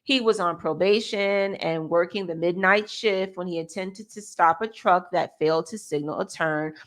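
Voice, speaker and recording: A woman's voice: lowish voice, normal speed. An American woman reading a news article aloud as a co-host, with casual asides and the occasional stumble over words.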